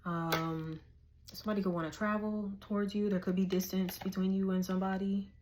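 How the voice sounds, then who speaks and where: A woman's voice: medium pitch, flat delivery, brisk, a little mellow, slight background noise. A woman, giving a casual, slangy tarot reading and thinking aloud as she goes. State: hoarse. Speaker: tarot reader.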